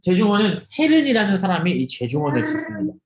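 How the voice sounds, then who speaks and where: Lively young man preaching to teens. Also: sits high, fast, roomy sound, very noisy. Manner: lively, asks students questions and jokes with them. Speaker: youth ministry preacher. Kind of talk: interactive youth sermon over video call. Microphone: video call.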